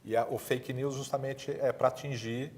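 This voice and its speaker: A man with a Brazilian accent speaks on a discussion panel. His pitch is average, and he often checks his points with short tag questions. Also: flat delivery, normal speed, darkish tone, slight background noise.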